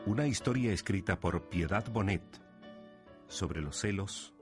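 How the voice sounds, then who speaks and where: A man's voice: low-pitched, expressive, a little slow. A man narrating a scripted romantic radio drama as a solemn storyteller. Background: music underneath.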